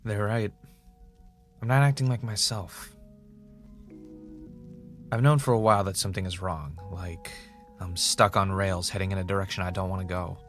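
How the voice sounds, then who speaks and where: A man acting as an anxious, self-doubting podcast host in an audio drama, talking in a halting, confessional way. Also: deep voice, steady tone, a bit bright, faint music behind. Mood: regretful, despairing. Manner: hesitant, self-questioning, halting.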